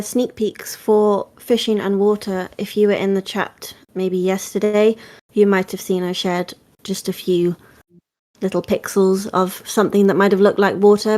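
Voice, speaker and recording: A woman's voice: medium pitch, a little mellow. Chatty British woman. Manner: friendly, chatty, explanatory. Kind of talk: casual livestream Q&A.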